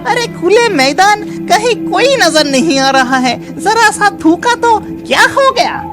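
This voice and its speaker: A woman's voice: extremely high pitch, very animated, a little slow, over loud music, heavily acted. A woman voicing a bossy, doubting village boy in a cartoon story, emphatic and taunting. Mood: dismissive.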